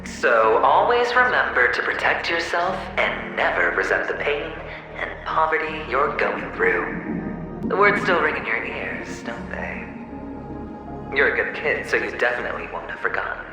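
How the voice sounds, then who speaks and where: An actor voicing the mocking, theatrical inner self of a young gambler in a video game, with drawn-out, swaggering delivery and an echo on the voice. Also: male voice, medium pitch, very animated. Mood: needling. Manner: mocking, drawn-out, sing-song swagger with sighs and chuckles. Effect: echoing reverb on the voice. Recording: echoing game cutscene with music. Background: music.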